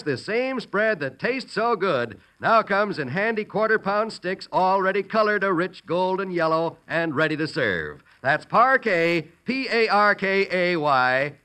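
Bright, animated American radio announcer man. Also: high voice. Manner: polished, emphatic selling delivery. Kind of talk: 1940s radio announcing, sponsor pitch. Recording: vintage radio recording with music.

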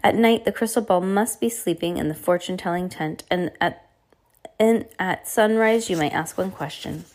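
A woman voicing a pleading, flattering fortune-teller from a storybook, with a vague foreign accent and theatrical broken English. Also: fairly low pitch, normal speed, lightly acted. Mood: coaxing. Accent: exaggerated vague foreign.

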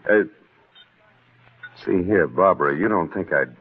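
Hard-boiled male schemer, old-time radio drama: low, raspy, shifty, clipped. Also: animated, unhurried, exaggerated voice. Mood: evasive, smooth. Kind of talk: 1940s radio drama acting. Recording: tinny old radio broadcast.

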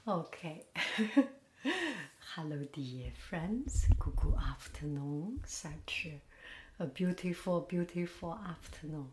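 A woman hosts a quiet, casual chat, speaking gently in a half-whisper. She talks at a very slow, unhurried pace. Her voice sits in a noticeably echoey room, with a faint hiss underneath. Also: deep voice. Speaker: podcast host. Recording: roomy echo with faint noise.

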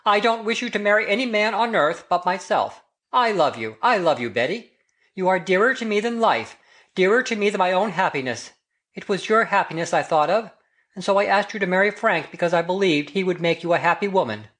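Lady reading as an older guy hopelessly in love, solemn, passionate, pitch moves around a lot, audiobook style. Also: animated, a little fast, a bit bright, close to an everyday voice. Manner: solemn, impassioned. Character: earnest, lovelorn older man, self-denying and passionate. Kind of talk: audiobook character dialogue.

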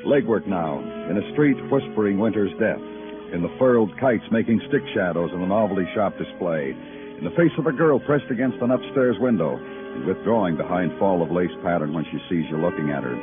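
Male, world-weary big-city detective for vintage radio noir; very low, widely swinging intonation, brooding and poetic. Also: lively intonation, brisk. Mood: brooding, lyrical. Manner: brooding, lyrical, dramatic delivery. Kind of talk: 1950s radio noir acting and poetic narration. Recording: muffled old radio broadcast with music.

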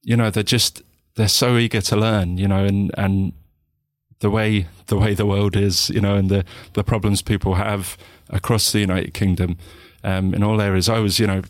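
A man with a southern English accent, a guest in an interview, talking reflectively with many pauses, restarts and "you know"s. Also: fairly low pitch, little pitch movement, no echo, studio quality. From England.

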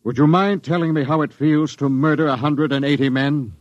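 An actor playing a grim, bitter spaceship captain in a 1950s radio drama, speaking in a solemn, measured way. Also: male voice, fairly high pitch, dry recording, close to an everyday voice. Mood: bitter, sarcastic. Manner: solemn, measured, sarcastic when angered. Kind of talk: 1950s radio drama acting, first-person narration. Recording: dull old radio transcription.

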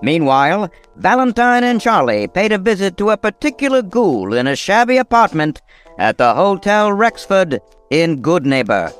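An actor playing a dramatic narrator in the style of an old-time radio serial. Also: male voice, high voice, big pitch swings, normal speed, music in the background.

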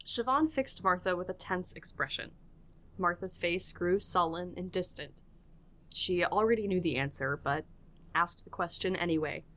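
A woman's voice: on the low side, moderate pace, fairly bright tone. A woman narrating a scripted audio drama in a storytelling style.

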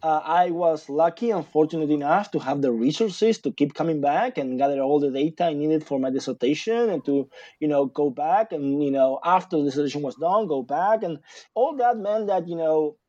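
A man's voice: on the high side, expressive, normal speed, mellow, no echo, highs cut off. A man from Argentina, a guest in a casual interview, talking in a rambling way with many fillers and restarts. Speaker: guest author, sociologist.

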